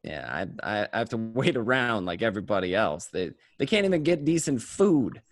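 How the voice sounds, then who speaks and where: Actor as a bitter ex-gangster, swooping pitch. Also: male voice, sing-song, normal speed. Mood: bitter, resigned. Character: disgruntled gangster living as an average nobody. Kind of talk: dramatic monologue in amateur radio play.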